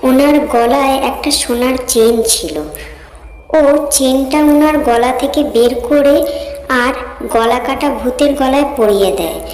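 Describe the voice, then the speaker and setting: A woman narrating a scripted animated horror story as its storyteller. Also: sits high, measured pace. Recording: echoey, long reverb, music behind.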